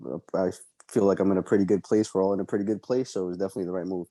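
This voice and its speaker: A young man from El Salvador, a fighter being interviewed, answering casually and haltingly with many ums and uhs. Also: deep voice, fairly even intonation, warm tone, no room sound. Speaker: MMA fighter guest.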